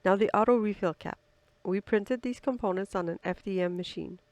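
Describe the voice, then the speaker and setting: A woman's voice: medium pitch, fairly quick, darkish tone, no echo. A woman who is slightly under the weather with flu, narrating step-by-step build instructions from a script. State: sick with flu, slightly odd voice. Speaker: co-presenter of build tutorial.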